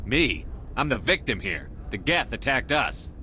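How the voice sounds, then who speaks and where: A man playing a steady, direct military commander in a video game, level and to the point. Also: medium pitch, measured pace, slight background noise. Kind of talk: scripted video game acting.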